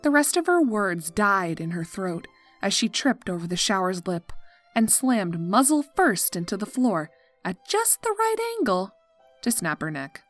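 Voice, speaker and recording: A woman's voice: medium pitch, big pitch swings, a little slow. A woman reading scripted story narration as the narrator. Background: music.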